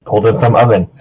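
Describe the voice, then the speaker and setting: A man chatting casually and jokingly as a co-reader, heard over a tinny voice call. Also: medium pitch. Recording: tinny band-limited voice call, noisy.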